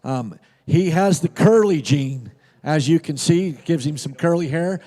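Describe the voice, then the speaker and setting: A man's voice: fairly high pitch, expressive, measured pace, warm tone, long reverb tail. A man announcing horse sale lots, describing each horse in a plain, informative way with casual fillers. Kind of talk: reading lot descriptions.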